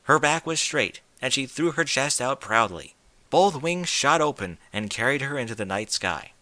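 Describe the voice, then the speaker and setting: Casting: male story narrator with a bright, lively delivery, recorded close and dry. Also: medium pitch, moderate pace, no room sound. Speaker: audiobook narrator. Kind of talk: scripted fiction narration.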